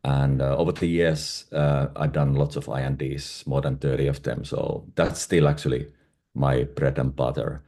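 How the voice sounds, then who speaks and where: A man's voice: extremely low pitch, level tone, normal speed, mellow. A middle-aged man from Finland with a Finnish accent, answering conversationally as an interview guest. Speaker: guest, independent pharmaceutical consultant.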